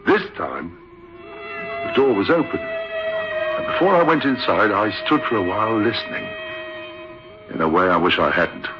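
An actor playing a troubled witness narrating an eerie old radio drama in the first person, uneasy and halting. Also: male voice, lowish voice, huge pitch swings. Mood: uneasy, reflective. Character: troubled male witness recounting an eerie story. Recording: dull lo-fi old radio recording. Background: music.

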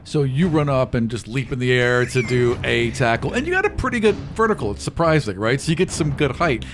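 Lively man running a tabletop game. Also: mid-range voice, a little fast, noisy background. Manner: casual, conversational. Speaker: game master. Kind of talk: narrating a tabletop roleplaying game. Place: room around a large game table. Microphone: close table microphone.

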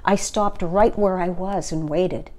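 A woman in her sixties reads a picture book aloud. Her voice is soft-edged and mellow, pitched a little low, and she takes her time. Each phrase is placed gently and deliberately, as for a children's story. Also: fairly even intonation. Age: 60s. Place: small furnished living room, indoors.

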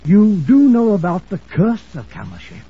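An actor playing a fussy, excitable old gentleman scholar in a radio drama, stammering pompously and repeating himself. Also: sits high, moderate pace, slightly rough, exaggerated voice. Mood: grave warning. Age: older. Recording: muffled, hissy old radio recording.

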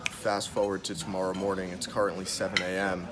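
A young man vlogs into a handheld camera from a hotel room. His voice sits a bit low and he sounds excited, swearing freely as he chats. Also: a little fast, background noise. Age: young adult. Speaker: vlogger. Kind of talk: casual vlog talk to camera.

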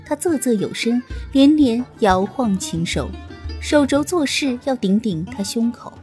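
Female audiobook narrator, lively intonation, measured pace, faint music behind. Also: fairly high pitch. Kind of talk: expressive scripted novel narration.

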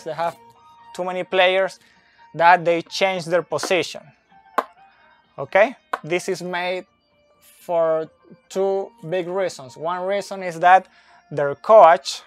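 A man in his thirties whose first language is not English, explaining soccer tactics slowly at a whiteboard, recorded on a phone camera indoors. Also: high-pitched. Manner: slow, deliberate, with fillers and restarts, pointing at a board. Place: indoor room with a brick wall and whiteboard. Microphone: phone camera, close and moving.

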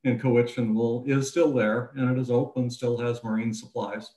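A man's voice: medium pitch, little pitch movement, moderate pace, warm tone. A North American man in his sixties sharing information casually as a panelist on a video call. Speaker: cruising guide panelist. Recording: echoey room on video call.

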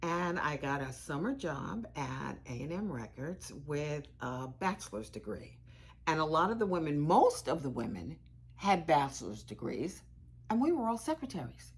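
Actress as a husky, animated older woman. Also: sits low, normal speed, exaggerated voice. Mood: animated, indignant. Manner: candid, emphatic, repeats phrases. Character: candid, animated law school dean reminiscing. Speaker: actor in a dramatized narrative. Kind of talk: conversational dramatized monologue. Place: room with bookshelves.

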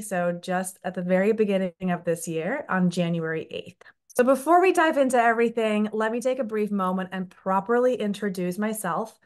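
A woman in her thirties, raised in the Netherlands and a native Dutch speaker, opens a webinar over a video call. Her mid-pitched delivery is polished and clearly scripted. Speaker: webinar host, company scientist.

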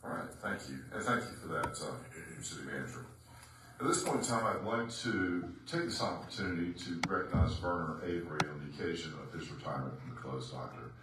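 Man, mayor, deep voice, genial, echoey chamber, distant mic, background noise. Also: expressive, a little fast. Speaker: mayor presiding over council meeting. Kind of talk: formal meeting chair remarks. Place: city council chamber.

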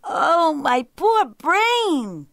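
A storybook jackal, played by a woman, sly underneath and hopelessly muddled on top. The voice sits high and swings widely, with drawn-out exclamations of puzzlement. It is recorded close and dry. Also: big pitch swings, fairly bright tone, dry recording. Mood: befuddled. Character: sly jackal feigning muddled, dim-witted confusion. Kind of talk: animal folktale storytelling.

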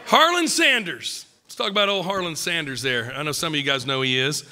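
A middle-aged man preaching at a pulpit, emphatic and joking, a little croaky from a cold. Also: fairly high pitch, animated, brisk, bright, forceful tone, long reverb tail. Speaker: pastor. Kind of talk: sermon. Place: church stage at a wooden pulpit. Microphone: podium mic.

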